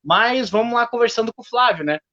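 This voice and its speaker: A man hosting a live online discussion, talking in a halting way with many restarts. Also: very high-pitched, expressive, bright, forceful tone, dry recording. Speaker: host. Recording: dull lo-fi stream audio.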